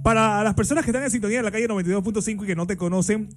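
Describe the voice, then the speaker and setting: A man's voice: high voice, rapid-fire. Chatty radio host, man. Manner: chatty, affirming, friendly banter. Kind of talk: casual radio interview. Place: radio studio booth.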